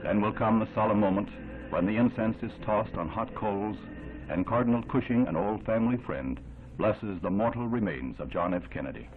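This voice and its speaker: A man's voice: on the low side, moderate pace, a little hiss or hum. An American man reading scripted 1960s newsreel narration in a solemn, formal, dramatic oratorical manner. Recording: tinny band-limited 1960s newsreel soundtrack. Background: loud music.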